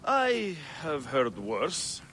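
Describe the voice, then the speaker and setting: A showy arena figure in a video game. His highish voice is wry and drawling, very animated, over clearly audible background noise. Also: very slow, exaggerated voice. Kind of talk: dramatic video game acting.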